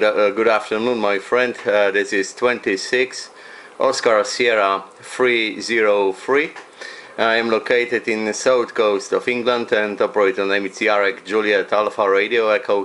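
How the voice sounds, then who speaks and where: A man's voice: lowish voice, a bit bright. A man making casual amateur radio contact, politely and in hesitant English, using phonetic call signs. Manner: friendly, polite, hesitant non-native English. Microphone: radio desk microphone.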